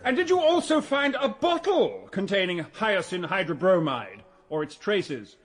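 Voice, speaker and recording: An actor playing a dry, pointed upper-class English barrister in a radio drama, formal and wry, with a husky voice. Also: male voice, high-pitched, big pitch swings, a little slow. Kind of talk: British radio drama courtroom acting.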